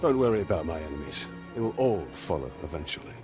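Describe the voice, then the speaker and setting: Deep-voiced, grim warrior lord. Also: male voice, animated, fast. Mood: firm command. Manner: terse, authoritative. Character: grim, resolute, commanding male warrior lord. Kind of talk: video game cutscene acting. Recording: loud music behind the voice.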